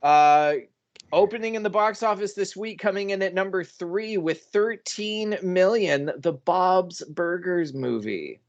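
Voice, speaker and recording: Higher-pitched man hosting a playful podcast. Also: a little slow, highs cut off. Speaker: podcast host. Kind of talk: casual comedic chat.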